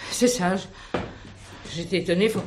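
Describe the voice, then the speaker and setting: A woman's voice: lowish voice, steady tone, normal speed, noisy background, natural voice. A woman playing a flustered, chatty elderly widowed concierge in a detective radio play, rambling, hesitant and deferential. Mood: rambling, shaken.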